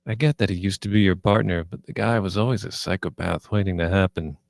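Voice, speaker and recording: A man playing a cynical police detective in his thirties in a screenplay table read, creaky and blunt in delivery. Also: low-pitched, fairly even intonation, fast, no echo, clean studio sound. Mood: grim, disgusted.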